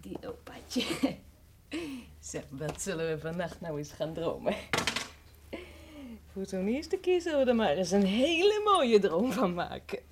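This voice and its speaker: An actress playing a sceptical but good-humoured, chatty housekeeper who exclaims and mutters to herself in a children's audio drama. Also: female voice, mid-range voice, big pitch swings, measured pace, long pauses, a little hiss or hum. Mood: playful, dreamy.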